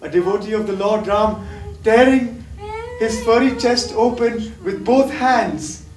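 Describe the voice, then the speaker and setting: A man's voice: high voice, unhurried. A man acting a sick, tormented addict confessing lost love in an impassioned, poetic stage-play style. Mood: fervent longing. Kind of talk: theatrical stage play dialogue. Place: theatre stage, recorded from afar. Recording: distant, echoey, noisy stage recording.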